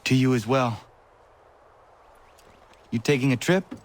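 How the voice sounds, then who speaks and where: An actor voicing an earnest, determined young warrior in a video game, speaking in measured, formal phrases. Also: male voice, medium pitch, natural voice. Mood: reserved. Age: young adult. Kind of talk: video game voice acting, dialogue and narration.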